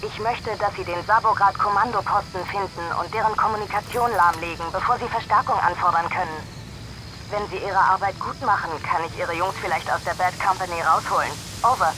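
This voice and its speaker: An actress playing a stern army dispatcher who gives clipped, formal orders over military radio in a video game. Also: female voice, medium pitch, fairly quick, background noise. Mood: businesslike, commanding.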